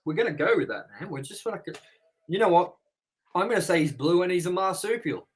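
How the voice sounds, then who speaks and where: A man's voice: sits high, animated, fairly quick. A young man hosting a casual review podcast, joking crudely and swearing often. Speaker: podcast host.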